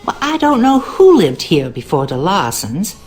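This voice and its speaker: A woman's voice: lowish voice, huge pitch swings, normal speed, a little mellow. An actress playing a wry, superstitious cleaning woman in a video game, with a Southern US accent and a knowing, ominous way of talking. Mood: wry, folksy. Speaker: game character, cleaning lady. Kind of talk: video game character dialogue.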